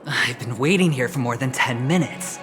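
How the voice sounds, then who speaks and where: A man voicing a smooth, scheming young businessman and gambler in a video game, talking in a sly, playful, teasing way. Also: medium pitch, moderate pace, a bit bright, natural voice. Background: game music.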